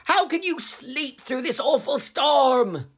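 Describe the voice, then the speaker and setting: Frightened sailor men caught in a storm, squeaky and high-pitched, as a storybook reader puts them on, slightly muffled lo-fi recording. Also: male voice, animated, slow pace, fairly bright tone, heavily acted. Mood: panicked. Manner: panicked, high-pitched pleading. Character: terrified shipboard sailors, grown men.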